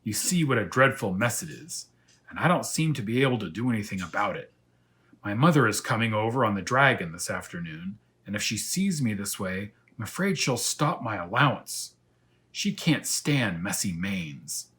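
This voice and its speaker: A man voicing a vain, hot-tempered lion in a children's storybook, loud and blustering. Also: on the low side, sing-song, normal speed. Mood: upset, grumbling. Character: angry, vain, blustering male lion, scolding and boastful.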